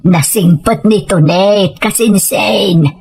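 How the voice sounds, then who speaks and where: A woman playing an ailing older mother in a radio drama, weary, gentle and trusting. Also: medium pitch, takes it slowly, breathy edge. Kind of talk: Ilocano radio drama acting.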